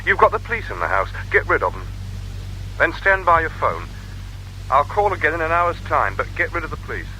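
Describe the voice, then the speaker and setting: Mocking kidnapper on a phone call, radio play, very animated edgy voice, bit of noise. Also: male voice, a little high, brisk, full character voice. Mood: menacing, commanding. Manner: mocking, commanding, falsely polite. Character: taunting, cold-blooded male kidnapper making ransom demands. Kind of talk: radio drama acting. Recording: noisy old radio drama, telephone call.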